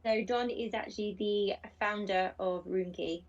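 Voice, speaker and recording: A woman's voice: medium pitch, steady tone, unhurried. A woman from platform support, giving the presenter helpful, matter-of-fact answers. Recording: distant, muffled audio.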